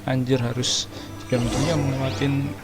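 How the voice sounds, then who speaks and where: A man's voice: medium pitch, little pitch movement, normal speed. A man giving slangy, off-the-cuff gameplay commentary. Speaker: gaming streamer. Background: loud game music.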